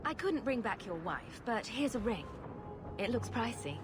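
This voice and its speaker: A woman's voice: a little high, sing-song, normal speed, over music. An actress playing a sarcastic, flippant female rogue in a video game, with a wry, breezy manner. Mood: matter-of-fact. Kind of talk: video game dialogue acting. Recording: clear noise behind the voice.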